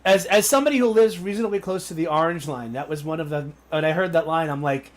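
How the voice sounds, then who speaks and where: A man's voice: fairly high pitch, fast talker, soft background music. A man from New York City hosting a casual interview, chatty and often restarting his sentences. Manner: stammering restarts, chuckling, chatty. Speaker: podcast host.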